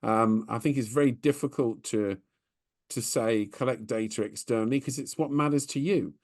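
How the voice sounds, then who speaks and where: A man co-hosting a business podcast in relaxed chat, thinking aloud as he speaks. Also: medium pitch, normal speed. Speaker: podcast co-host.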